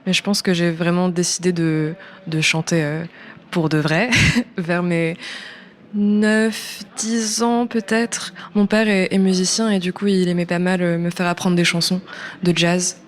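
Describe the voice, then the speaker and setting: A woman's voice: fairly low pitch, fairly even intonation, measured pace, a little hiss or hum. A woman in her early twenties, a native English speaker, chatting casually in a radio interview, hesitant and informal, often trailing off. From born in New York, French-American. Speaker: musician, singer guest.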